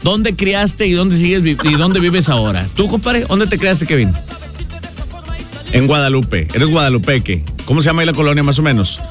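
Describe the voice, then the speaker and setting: A man's voice: on the high side, animated, moderate pace. A man with a Monterrey accent hosting a radio show, teasing callers in slangy banter. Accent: northern Mexican, Monterrey. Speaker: radio host. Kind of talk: casual morning-radio banter. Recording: music bed playing behind.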